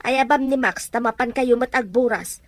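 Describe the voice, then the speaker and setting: A woman's voice: a little high, moderate pace, dry recording, lightly acted. A woman playing a practical, exasperated rural mother in a family radio drama, scolding and questioning. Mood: exasperated nagging.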